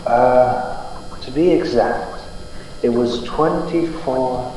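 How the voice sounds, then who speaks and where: A young man playing an earnest, polite heroic space adventurer in an old-time radio serial, speaking deliberately. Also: medium pitch, warm tone. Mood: solemn recounting. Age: young adult. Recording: very echoey hall, distant, faint hum.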